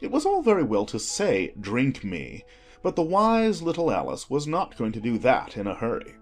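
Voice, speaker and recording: A man narrating a children's storybook from a script in an expressive style, as an audiobook reader. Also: medium pitch, normal speed, soft background music.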